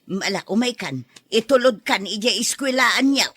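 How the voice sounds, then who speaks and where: A woman's voice: fairly high pitch, lively intonation, moderate pace, a bit bright, slightly rough, no echo, studio quality. A woman with an Ilocano Filipino accent playing a pregnant mother and caring aunt in a conversational audio drama. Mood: reassuring.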